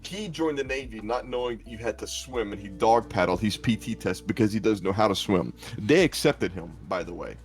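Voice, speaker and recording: A man with a lowish voice banters on a stream, telling stories about himself and poking fun at his own antics. He is an esports caster, and faint music plays under his talk. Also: a little fast, hardly any pauses. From South Arkansas, USA. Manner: storytelling, self-mocking, joking.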